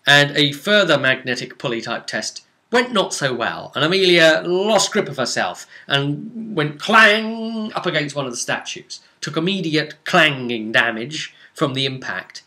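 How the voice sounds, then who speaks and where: Male game master for a vlog recap: bright, forceful voice, theatrical storyteller who leans hard on key words. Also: fairly high pitch, lively intonation. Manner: theatrical, dramatic emphasis and drawn-out words.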